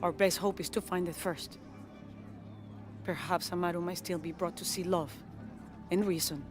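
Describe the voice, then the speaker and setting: A woman's voice: lowish voice, fairly even intonation, moderate pace, music in the background, lightly acted. A woman playing a commanding, dignified rebel queen in a video game, stern and composed. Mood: grave, composed. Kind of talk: video game dialogue acting.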